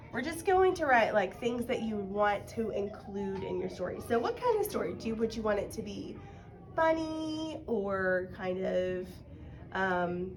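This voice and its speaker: A woman's voice: medium pitch, background noise. A woman teaching a casual one-on-one writing lesson, encouraging and guiding with questions. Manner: encouraging, explains by prompting with questions.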